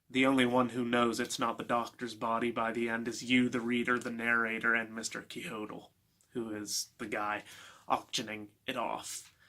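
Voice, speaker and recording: A man giving a casual, unscripted book review in an informal, opinionated way, halting as he gathers his thoughts. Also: medium pitch, fairly bright tone.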